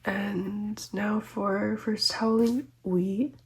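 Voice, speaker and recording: Soft-spoken, slightly congested young woman. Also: medium pitch, flat delivery, extremely slow, slight background noise. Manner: gentle, chatty, lots of ums and small laughs. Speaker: photocard collecting vlogger. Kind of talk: soft-spoken collecting vlog, often whispered.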